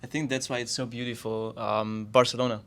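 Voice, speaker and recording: A man's voice: medium pitch, level tone, fairly quick, a bit bright. A man from Hungary who co-hosts a podcast, speaking in an enthusiastic, open way. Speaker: podcast co-host. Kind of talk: casual chat.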